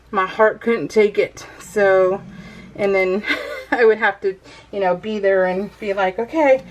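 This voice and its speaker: A middle-aged woman with an American accent chats casually to her viewers. Her voice sits at an ordinary pitch for a woman. She rambles in a giggly, self-deprecating way, like someone hosting a craft vlog from home. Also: slight background noise, poor audio. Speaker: craft video host.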